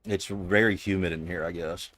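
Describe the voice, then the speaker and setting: Deep gravelly man, dry close sound. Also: steady tone, moderate pace, fairly bright tone, no room sound. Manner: joking, mock-serious. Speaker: streamer host. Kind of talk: casual chat with friends.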